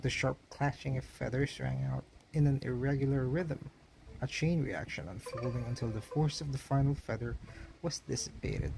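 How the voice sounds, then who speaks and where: A man's voice: mid-range voice, vocal fry, noisy background. A man reading a story aloud as a dramatic, emphatic narration. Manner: theatrical, emphatic storytelling delivery.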